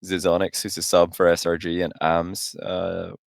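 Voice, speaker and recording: A man's voice: low voice, completely flat delivery, normal speed, vocal fry, dry recording, studio quality. A man in his late twenties chatting casually as co-host of a gaming podcast. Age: late 20s.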